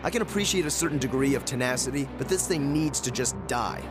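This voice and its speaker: A man's voice: mid-range voice, lively intonation, quick pace, close to an everyday voice. A man playing a proud, haughty young swordsman in a video game, talking in a formal, pompous way. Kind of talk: English video game voice acting. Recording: loud music behind.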